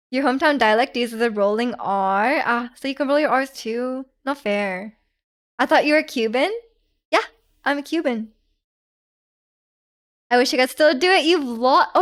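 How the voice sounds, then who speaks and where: A woman's voice: fairly high pitch, a bit bright. A young woman, chatting casually on a live stream, giggling as she answers her chat.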